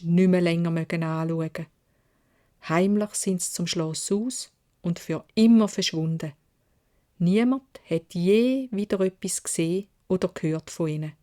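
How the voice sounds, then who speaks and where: Female storyteller, Swiss German dialect, medium pitch, unhurried and expressive children's fairy-tale read. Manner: measured, expressive.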